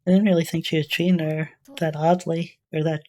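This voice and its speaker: Female reaction host, notably low register, flat delivery, casual and tentative, reasoning things out as she goes. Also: fairly quick. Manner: musing, tentative, thinking aloud. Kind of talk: casual off-the-cuff reaction commentary.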